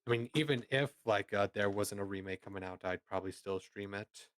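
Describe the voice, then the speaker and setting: A man in a casual chat, relaxed and thoughtful about what he likes to stream. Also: lowish voice, brisk, no room sound.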